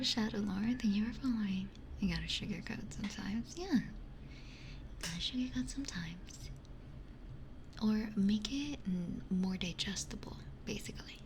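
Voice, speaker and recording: A woman whispering casually to live viewers in an ASMR style, hushed and breathy, close on a binaural microphone. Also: mid-range voice, background noise. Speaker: ASMR streamer.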